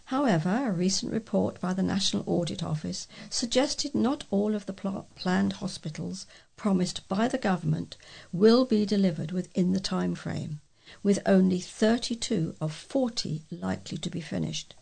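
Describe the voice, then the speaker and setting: A woman's voice: medium pitch, normal speed, gravelly, darkish tone, breathy edge. A woman reading local news aloud, occasionally stumbling over a word as a volunteer newsreader.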